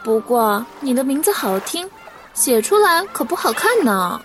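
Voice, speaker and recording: Woman playing a high-voiced, playful young maiden. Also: big pitch swings, measured pace, slight background noise. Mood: teasing. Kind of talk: Chinese costume radio drama. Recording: distant voice, music behind.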